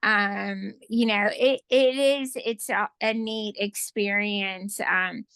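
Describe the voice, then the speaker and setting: A woman guest in a casual interview who shares reflective stories, often pausing with fillers. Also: mid-range voice, steady tone, a bit bright, smooth, dry recording. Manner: reflective storyteller, lots of fillers.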